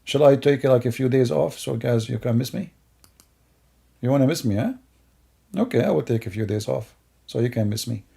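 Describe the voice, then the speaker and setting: Gravelly, mocking middle-aged man. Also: medium pitch, normal speed, pauses often, warm tone. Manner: mocking, rambling, with broken grammar. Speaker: commentator. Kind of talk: sarcastic commentary over video clips.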